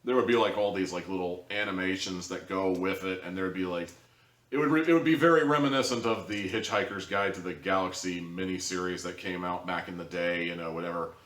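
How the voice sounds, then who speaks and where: Male reviewer, low register, casual rambling delivery, roomy sound picked up at a distance. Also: brisk, a bit bright. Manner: rambling, hedging, conversational. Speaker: audio drama reviewer. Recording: roomy echo, distant from the mic.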